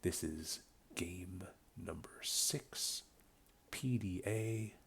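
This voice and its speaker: A man with a voice slightly off from a cold, casually commentating a strategy game replay, relaxed and rambling with many ums. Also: sits low, extremely slow, gravelly, husky. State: getting over a cold. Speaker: game caster. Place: home room with a buzzing light.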